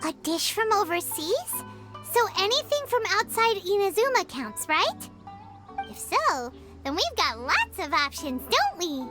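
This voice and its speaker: Tiny childlike game sidekick, extremely high squeaky voice, eager, swooping intonation, prominent music behind. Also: female voice, big pitch swings, unhurried, heavily acted. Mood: curious. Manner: bubbly, exclamatory, refers to self in third person. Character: small childlike female floating companion, eager and food-loving. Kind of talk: anime-style video game voice acting.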